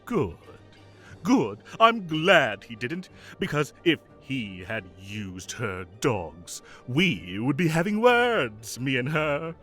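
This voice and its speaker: A man's voice: medium pitch, big pitch swings, slow pace, fairly bright tone, faint music behind, full character voice. A man playing a whimsical fae king of summer in an audio roleplay, theatrical, playful and grand, with mock indignation and doting fondness for his cats. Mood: protective, imperious.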